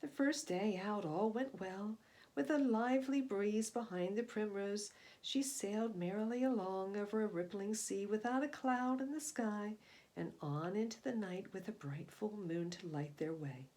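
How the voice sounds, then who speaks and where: A woman reading a picture book aloud to young children in expressive storytelling narration. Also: mid-range voice, normal speed.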